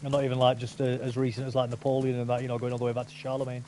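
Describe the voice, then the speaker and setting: A man's voice: mid-range voice, completely flat delivery, rapid-fire, dark tone. A man chatting casually with a friend while gaming, rambling and opinionated with many restarts. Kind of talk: casual chat over gameplay.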